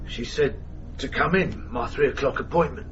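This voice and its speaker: Guy playing needy anxious patient in a radio play, mid-pitched, music under him. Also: moderate pace, muffled recording, natural voice. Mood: confused, pleading. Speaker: actor in a radio drama. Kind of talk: naturalistic radio drama acting.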